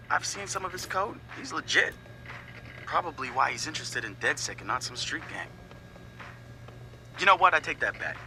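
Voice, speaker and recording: An actor playing a confident young hacker activist, recording a casual, slangy, wry audio log for a video game. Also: male voice, medium pitch, sharp tone, slight background noise. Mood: amused, wry. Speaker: hacker group leader narrating audio logs.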